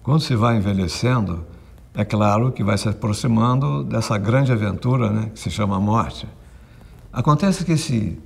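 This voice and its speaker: A man in his seventies speaks calmly and philosophically. His voice is gravelly and husky. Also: on the low side. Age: 70s. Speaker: interviewee, architect and psychologist.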